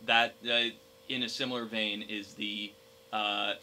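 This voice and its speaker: A young man co-hosting a casual gaming discussion, speaking in a hesitant, halting way. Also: on the low side, level tone, sharp tone, slight background noise, low-quality recording. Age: young adult. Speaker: podcast co-host.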